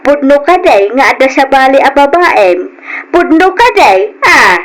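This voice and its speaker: A Filipino woman playing a worried, gentle, pleading mother in an old radio drama. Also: high-pitched, animated, a little slow.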